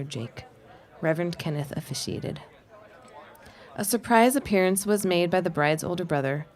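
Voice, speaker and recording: A woman's voice: on the low side, a little mellow, lightly acted. A woman playing a society columnist, reading a formal wedding notice as scripted audio drama narration. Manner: formal, announcing.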